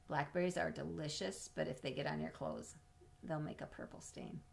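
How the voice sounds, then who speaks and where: A middle-aged woman with a slightly low voice reads a children's story aloud. She is expressive and teacherly, moving between storybook narration and simple questions or explanations for young listeners. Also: moderate pace, vocal fry, heavy background noise. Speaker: storyteller reading a picture book. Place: small indoor home room with bookshelves.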